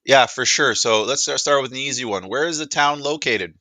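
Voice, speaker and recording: A man presenting a casual product demo, putting questions to a voice assistant in hesitant, halting phrases. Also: mid-range voice, sharp tone, no echo.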